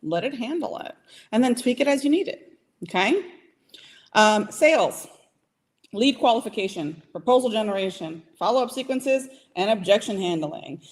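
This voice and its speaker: A woman's voice: fairly high pitch, lively intonation, moderate pace. A woman business consultant giving advice in a casual podcast chat, emphatic and repeating points for stress. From Puerto Rican heritage, from the US Northeast.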